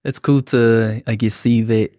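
Young kiwi bloke hosting a podcast, pretty level low-key delivery, close dry mic, casual chat. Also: male voice, steady tone, measured pace, warm tone, no room sound. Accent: New Zealand. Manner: attentive, frequent backchannels. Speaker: podcast host. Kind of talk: casual conversational interviewing. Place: small podcast studio, indoors. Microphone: close studio microphone on arm.

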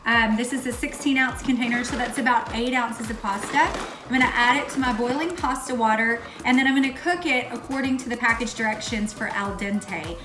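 A woman talks casually through a recipe, explaining each step as she works. Her voice sits a little high and rings in the room of a home kitchen. Music plays clearly behind her. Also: a little fast. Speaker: host of a cooking show. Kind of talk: casual instructional cooking demonstration. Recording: echoey kitchen, far from mic, music bed.

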